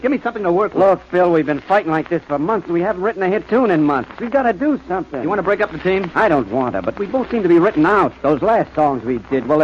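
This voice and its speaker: Actors playing two quarreling songwriters in a 1940s radio drama. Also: male voice, high voice, a little hiss or hum. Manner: bickering, heated. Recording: dull lo-fi old radio recording.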